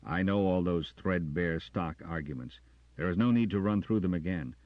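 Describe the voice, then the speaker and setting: A man's voice: low voice, moderate pace, no room sound, dull audio, natural voice. A man voicing a stubborn, defiant young male ranger sergeant in an audiobook, with a cold, cutting manner.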